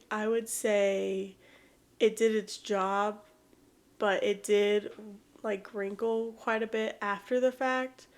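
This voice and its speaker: A woman's voice: a little high, a little mellow. A young woman hosting an art vlog, chatting casually while she paints, sounding a little congested from allergies, rambling and self-deprecating. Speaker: art channel host.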